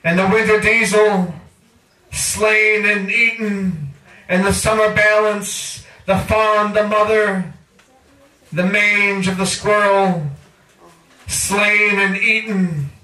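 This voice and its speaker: A man's voice: high-pitched, pushed and bright. A man reciting poetry live in an incantatory way, drawing out and repeating his phrases. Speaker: featured poet at a reading. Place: indoor cafe poetry reading. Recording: echoey room, distant microphone.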